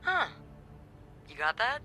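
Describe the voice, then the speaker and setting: Wry, bright-voiced, lively receptionist. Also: female voice, fairly high pitch, slow pace, soft background music, full character voice. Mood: teasing, lively. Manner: wry, teasing, expressive. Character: sardonic, playful young female hotel receptionist in 1950s mystery game. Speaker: hotel receptionist. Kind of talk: conversational video game acting.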